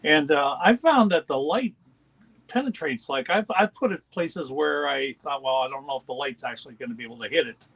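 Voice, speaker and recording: A man co-hosting a model-building livestream, talking in a calm, easygoing way. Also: fairly high pitch, fairly quick, vocal fry, slightly rough, poor audio. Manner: calm, easygoing, laid-back. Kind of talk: casual workbench chat.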